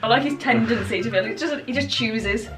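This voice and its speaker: A woman's voice: a little high, a little fast, far from the mic. A woman doing a playful dramatic reading with banter, often giggling as she goes. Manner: giggly, often breaking into laughter. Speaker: vlogger reading generated stories. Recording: echoey room with music behind.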